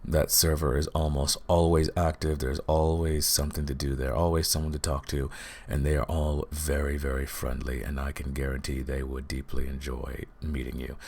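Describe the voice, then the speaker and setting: A man with a deep, gravelly voice talking casually to his listeners as the host of an ASMR channel. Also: little pitch movement, normal speed, a little mellow.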